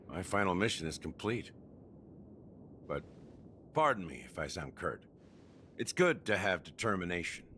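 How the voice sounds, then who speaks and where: An actor voicing a gruff, world-weary veteran in a game, curt and dry. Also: male voice, low-pitched, a bit bright, slight background noise. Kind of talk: scripted game voice acting.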